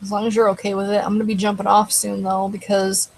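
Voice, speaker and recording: A woman's voice: medium pitch, completely flat delivery, fast talker, vocal fry, a little mellow, rough recording. A woman hosting a casual live stream, chatting loosely and answering viewers, her throat getting dry. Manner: rambling, conversational, answers chat comments. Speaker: live show host.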